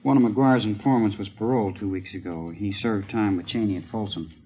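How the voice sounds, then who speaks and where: An actor playing a practical, businesslike police lieutenant in a 1950s radio drama. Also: male voice, on the low side, fairly quick, husky. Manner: plain, businesslike. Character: brisk, practical visiting male police lieutenant. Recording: muffled, faintly noisy old radio recording.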